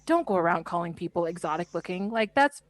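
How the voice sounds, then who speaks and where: A woman in her thirties co-hosting a podcast in casual chat, blunt and animated, swearing when something annoys her. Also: fairly low pitch, fast. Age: 30s. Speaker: podcast co-host.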